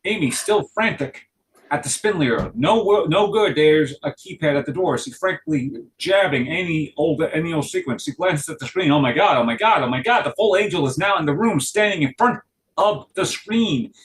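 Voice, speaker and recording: Guy narrating a screenplay dramatically, intense, slightly high voice, bit of hiss. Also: lively intonation, moderate pace, low-quality recording. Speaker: stage-direction reader.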